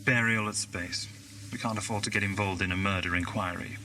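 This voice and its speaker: An actor playing a weary, embittered male time traveller in an audio drama, speaking slowly and solemnly. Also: fairly low pitch, steady tone, very noisy, lightly acted. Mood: weary, grim. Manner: slow, solemn, quoting verse.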